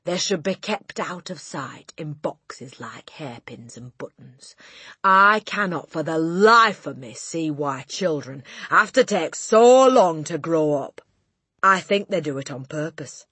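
A woman voicing a huge, tyrannical headmistress who loathes children, bellowing her insults with drawn-out emphasis in an audiobook. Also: low-pitched, expressive, fairly bright tone, husky, no echo, exaggerated voice. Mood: contemptuous. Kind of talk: audiobook character voice.

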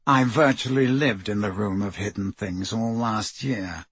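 A man's voice: on the low side, a little slow, fairly bright tone, husky, no room sound, voice pushed down. A man voicing Draco Malfoy, an arrogant teenage boy wizard with a cold, clipped, superior manner, in an audiobook reading.

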